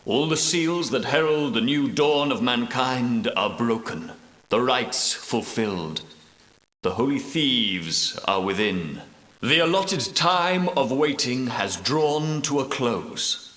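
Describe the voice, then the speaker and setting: An actor playing an ancient, solemn leader of holy knights in a video game, speaking gravely and formally, with portentous weight. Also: male voice, mid-range voice, animated, takes it slowly, a bit bright. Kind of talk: video game dramatic dialogue. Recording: roomy echo, distant from mic.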